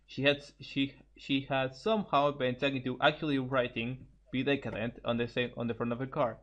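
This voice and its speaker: Male amateur story reader, mid-pitched, halting with repeated phrases, muffled lo-fi home mic. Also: little pitch movement, a bit bright. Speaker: co-host reading fan fiction aloud. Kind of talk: informal story read-aloud.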